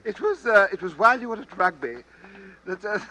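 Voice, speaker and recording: An actor playing a nervous, genteel, suspicious bachelor in an old-time radio drama, hesitant and stammering but polite. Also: male voice, high voice, animated, moderate pace, a crowd in the background. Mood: uneasy. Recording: faintly noisy old radio recording.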